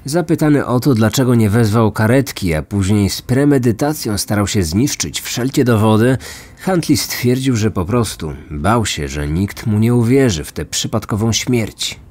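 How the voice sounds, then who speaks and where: A man narrating a scripted true crime story as podcast host, in a measured, serious manner. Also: on the low side, lively intonation, darkish tone. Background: background music.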